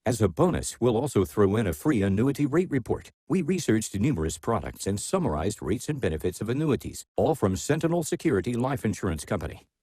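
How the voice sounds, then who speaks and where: A man reading a radio ad in an urgent sales-pitch manner. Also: big pitch swings, fairly quick, few pauses, dark tone, husky, dry recording. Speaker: ad announcer. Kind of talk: radio ad read.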